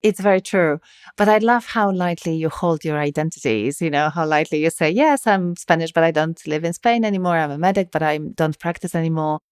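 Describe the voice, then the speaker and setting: A woman's voice: low voice, fairly quick, clear, resonant tone, no echo, clean studio sound. A woman hosting a podcast, reading a scripted introduction and then asking interview questions in an articulate, enthusiastic way. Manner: enthusiastic, articulate, laughs easily. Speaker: podcast host.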